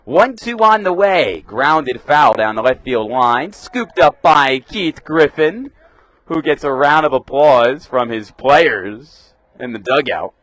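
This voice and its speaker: A young man calling live baseball play-by-play for radio in a crisp announcer delivery, punching up the pitch calls. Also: animated, slow, fairly bright tone, dry recording, poor audio. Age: young adult. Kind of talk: live sports commentary.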